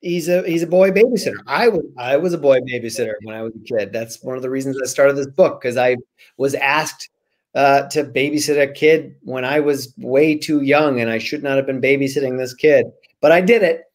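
A man in his forties chatting casually as a guest author on a video call, with a joking, slangy, animated manner. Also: mid-range voice, brisk. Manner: animated, joking, slangy, acts out imagined remarks. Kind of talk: casual chat interview. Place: small room at home, on a video call.

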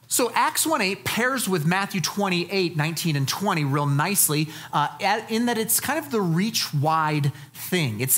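A man's voice: high-pitched, a bit bright. An American man in his forties preaching a conversational sermon, emphatic and folksy, repeating phrases for weight. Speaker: pastor. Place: church stage, indoors.

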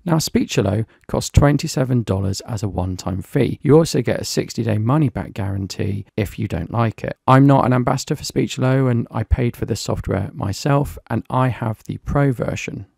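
British man, gravelly, dry close mic, walk-through tutorial read. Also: medium pitch, moderate pace, few pauses, a little mellow, no room sound. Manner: plain, explanatory, lightly chatty. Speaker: software reviewer. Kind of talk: screen-recorded software tutorial.

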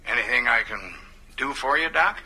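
An actor playing a small-town American constable speaking over the telephone in a radio drama. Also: male voice, medium pitch, expressive, normal speed, sharp tone, slightly rough, heavily acted, poor audio. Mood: subdued, halting.

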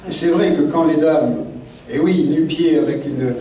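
An older man, a guest author seated at a desk microphone, telling memories in a halting, digressive way full of fillers. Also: a little high, a crowd in the background. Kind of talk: rambling spoken memoir talk. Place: literary café meeting room. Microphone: desk mic on stand, seated. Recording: very echoey room, mic at a distance.

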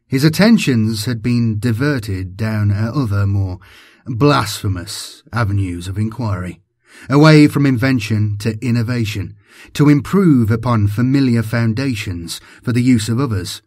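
A man's voice: mid-range voice, unhurried, no room sound, studio quality. A man giving a dramatic scripted narration of an audiobook as its narrator.

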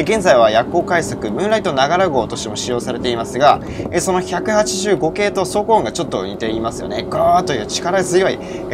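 A man in his early twenties narrating a railway vlog with detailed, informative explanations. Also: fairly high pitch. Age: early 20s. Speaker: railway vlogger. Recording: loud train and station noise.

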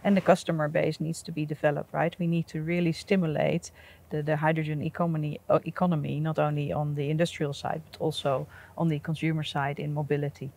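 A middle-aged woman speaking in an outdoor interview, halting with many restarts and easy laughs, on a lapel mic. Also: sits low, little pitch movement, gravelly, darkish tone. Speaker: energy company representative. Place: outdoors at an industrial port site.

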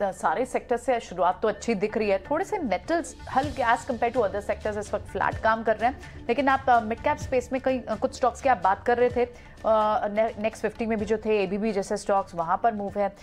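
A woman's voice: fairly high pitch, moderate pace, soft background music. A female market reporter giving live commentary in a hesitant way, with many filler sounds.

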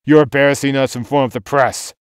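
Actor as a stern father, mid-pitched. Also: level tone, brisk, clear, resonant tone, dry recording, clean studio sound, natural voice. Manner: authoritative, formal. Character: stern, middle-aged wealthy father, formal and scolding.

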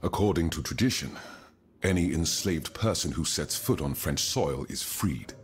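A man's voice: a bass voice, lively intonation, moderate pace. A man playing a proud, earnest, wealthy colonial reformer in a period game drama, speaking formally and eloquently, with gravity. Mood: solemn, explanatory.

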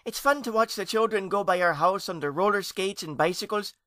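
Man as a high, eager little boy ghost. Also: fairly quick, sharp tone, no room sound, clean studio sound. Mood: eager, wistful.

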